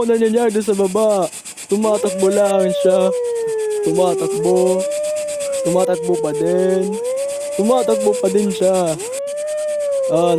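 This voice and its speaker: Man as high-pitched, chatty villager field reporter. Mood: excitable commentary. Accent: Filipino. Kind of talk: mock news field report.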